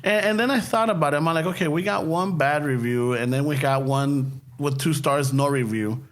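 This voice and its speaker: Chicano guy cohosting a podcast, high voice, rambles and restarts a lot. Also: moderate pace. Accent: Chicano English. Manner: rambling, self-interrupting, laughs often. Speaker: podcast co-host. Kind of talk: casual chat.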